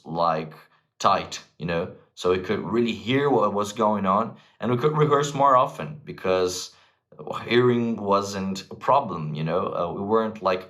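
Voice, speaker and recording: A man's voice: low voice, a bit bright. A man talking informally and enthusiastically, like a vlog host giving casual music gear recommendations to viewers. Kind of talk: casual gear advice to camera.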